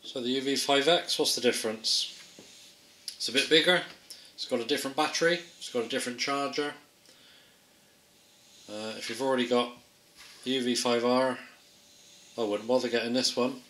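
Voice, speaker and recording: A man's voice: mid-range voice, steady tone, moderate pace, long pauses, sharp tone, a little hiss or hum. A man presenting a casual gadget field test in a hesitant, off-the-cuff way.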